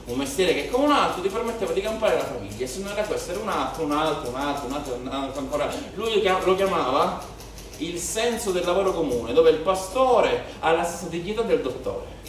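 In a very echoey theatre, picked up from a distance, a male actor plays a brooding, bitter policeman, son of a shepherd. His voice is on the high side for a man. He declaims his stage monologue with rhetorical repetition and weighty pauses. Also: expressive, lightly acted. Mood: earnest, bitter. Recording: very echoey theatre, distant mic, faint noise.

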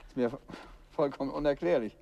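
An actor playing a calm, curious amateur detective in a 1950s radio drama, talking in a thoughtful, lightly teasing way. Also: male voice, medium pitch, a little fast, vocal fry, dull audio, close to an everyday voice. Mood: puzzled.

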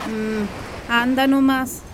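Actress as homely Chilean mother, very high voice, wry grumbling, radio drama, faint outdoor noise. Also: fairly even intonation, drawn-out words. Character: plain-spoken, resigned working-class mother at a picnic. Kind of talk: Spanish-language radio drama acting. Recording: light outdoor ambience noise.

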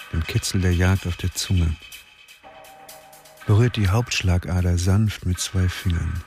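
A man narrating a crime radio play in a measured, dark manner. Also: low-pitched. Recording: music behind.

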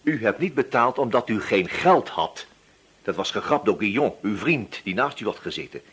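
A man's voice: lowish voice, very animated, moderate pace, a bit bright, slight background noise. A man playing a wily, relentless police inspector in a radio drama, feigning casual chat and probing until he accuses. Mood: accusing, firm. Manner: probing, hesitant feints turning accusatory.

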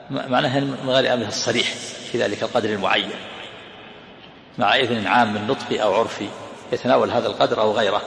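Man, gravelly, quick, teaching hadith, echoey hall with faint noise. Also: on the low side, a bit bright. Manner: explanatory, repeats phrases. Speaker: sheikh explaining hadith. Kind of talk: hadith commentary lesson.

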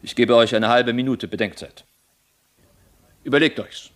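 A man's voice: medium pitch, normal speed, lightly acted. Man playing a calculating, guarded court schemer. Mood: coldly insistent. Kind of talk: German radio play acting.

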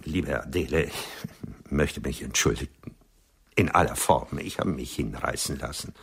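An actor playing a vain, aloof, aging poet in a German radio play, dry, condescending and formally articulate. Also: male voice, very deep voice, measured pace, vocal fry, fairly bright tone, breathy edge, full character voice. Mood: conciliatory. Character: vain, aloof, aging Viennese male poet, sharp-tongued.

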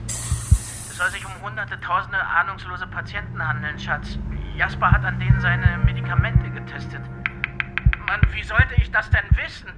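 Anxious, higher-voiced husband played by an actor. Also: male voice, normal speed, over loud music, natural voice. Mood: anxious, pleading. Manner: pleading, rambling, exasperated. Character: anxious, guilt-ridden husband, a compromised doctor and boss. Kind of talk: radio drama acting.

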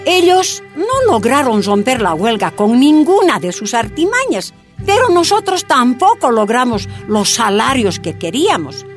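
A woman's voice: a little high, big pitch swings, a little slow, soft background music. A woman playing a determined Bolivian activist telling her own story in a radio drama. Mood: resolute. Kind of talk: first-person radio drama narration.

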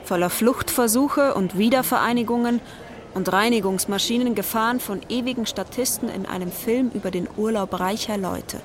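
A woman's voice: on the high side, moderate pace, lightly acted. A woman narrating a radio drama in a scripted, literary style. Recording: clear urban outdoor ambience noise behind.

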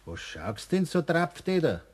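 An actor playing a jovial, bantering bowling companion with a Bavarian accent in a radio play. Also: male voice, fairly high pitch, big pitch swings, a little slow, a little mellow, husky, exaggerated voice. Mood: teasing. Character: jovial, teasing male bowling buddy.

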